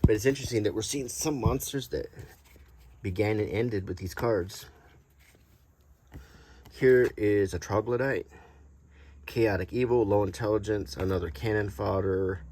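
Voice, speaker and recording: Low-pitched man in casual show-and-tell. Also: fairly even intonation, unhurried, long pauses, darkish tone. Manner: rambling, easygoing, thinking aloud. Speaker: host of a hobby collecting channel.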